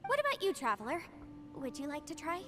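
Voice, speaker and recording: Earnest, polite young female legal advisor for a game: high-pitched, articulate delivery over music. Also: sing-song, brisk. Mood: amiable.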